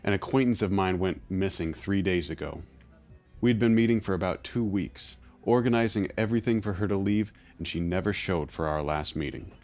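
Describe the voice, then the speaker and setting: Male actor as smooth, guarded fixer: very low voice, formal and condescending, audio drama with music underneath. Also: moderate pace, darkish tone. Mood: condescending, measured. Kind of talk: scripted audio drama acting.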